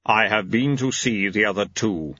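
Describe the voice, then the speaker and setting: A man playing a solemn supernatural horseman in a storybook, with slow, weighty, deadpan delivery. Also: lowish voice, husky, dry recording, highs cut off.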